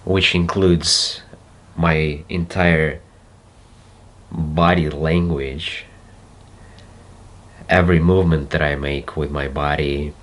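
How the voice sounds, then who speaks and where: A man with a Russian accent, a native Russian speaker, talking slowly and reflectively to camera and pausing to search for words. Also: a bass voice, fairly even intonation. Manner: slow, deliberate, searching for words with pauses. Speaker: self-development video host. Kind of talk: slow reflective monologue to camera.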